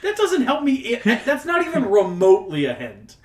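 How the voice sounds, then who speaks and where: A man co-hosting a casual podcast, joking and banter-driven, with a southern US accent, mumbling one moment and loud the next. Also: very high-pitched, huge pitch swings, moderate pace. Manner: playful, jumps between mumbling and loud exclaiming. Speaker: podcast co-host. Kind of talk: casual chat.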